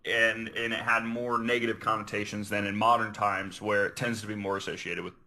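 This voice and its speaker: A man's voice: on the low side, little pitch movement, a little fast, vocal fry, sharp tone. A man hosting a podcast, earnestly explaining researched material and sometimes stumbling over his words. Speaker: podcast host. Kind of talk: reading researched notes, explanatory podcast talk.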